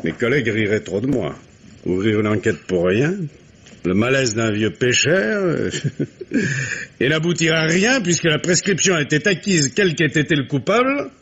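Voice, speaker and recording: A gruff wry police commissioner. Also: male voice, medium pitch, sing-song, moderate pace, a bit bright, highs cut off, full character voice. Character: shrewd gruff police commissioner, middle-aged man. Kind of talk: 1950s French radio drama acting. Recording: old radio drama recording with faint hiss.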